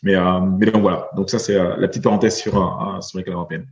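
A man in his forties from Belgium, a podcast guest talking in a halting way, with many fillers and repeated words. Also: low voice, little pitch movement, roomy sound, muffled recording. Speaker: guest, investor. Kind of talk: podcast interview.

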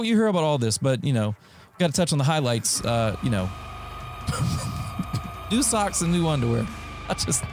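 A young male podcast host from North Carolina talks in a loose, rambling way, swearing and laughing as he goes. His voice sits at an ordinary middle pitch. The sound is dry and close, with faint music underneath. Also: a little mellow, no room sound. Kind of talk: casual podcast chat.

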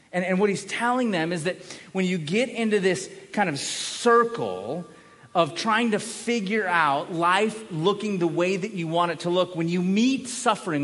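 A man's voice: high-pitched, fairly bright tone. A man preaching a sermon as a pastor, speaking emphatically, repeating key phrases and posing rhetorical questions. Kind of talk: sermon to a congregation. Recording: echoey hall with long reverb.

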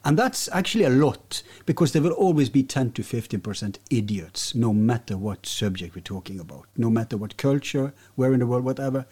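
A man's voice: mid-range voice, lively intonation, brisk, hardly any pauses, dark tone, slightly rough. A man from Norway, co-hosting a podcast, who talks in an emphatic, ranting way with frequent restarts. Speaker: podcast co-host. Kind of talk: opinionated podcast talk.

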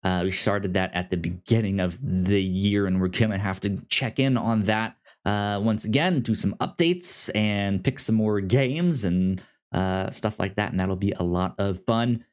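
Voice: low-pitched, unhurried, darkish tone. A podcast host chatting informally and giving announcements in a conversational way. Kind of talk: casual podcast chat with housekeeping announcements.

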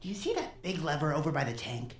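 A woman's voice: deep voice, sing-song, quick pace. A woman acting in an audio drama as an intense, persuasive captive child, speaking earnestly and urgently. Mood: eager. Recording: roomy, echoing student audio drama.

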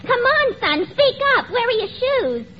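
A woman's voice: extremely high pitch, very animated, a little slow, exaggerated voice. A woman playing a poor, starving widowed mother, mournful and scolding, in a melodramatic 1940s radio comedy style. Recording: muffled noisy old radio, loud studio audience.

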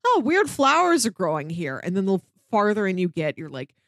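A woman's voice: mid-range voice, huge pitch swings, fairly quick, no room sound, studio quality. Enthusiastic, chatty woman podcast co-host, closely recorded. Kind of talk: casual review chat, recommending audio dramas.

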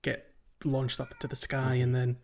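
A man's voice: mid-range voice, completely flat delivery, normal speed, gravelly, highs cut off. A man co-hosting a podcast, talking through a video game casually and a little hesitantly. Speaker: podcast co-host.

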